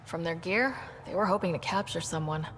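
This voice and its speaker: Actress as young woman explorer, video game, quick delivery, direct, inquisitive, long reverb. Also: fairly low pitch, a bit bright, a little hiss or hum, lightly acted. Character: young woman explorer, direct, good-natured team leader. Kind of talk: video game character dialogue.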